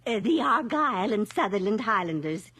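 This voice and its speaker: An actress playing a gentle, lonely older mother in a radio drama, polite and wistful, with a frail, breathy voice. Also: mid-range voice, sing-song, normal speed, no echo. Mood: wistful, proud. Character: gentle, lonely old mother living in the past.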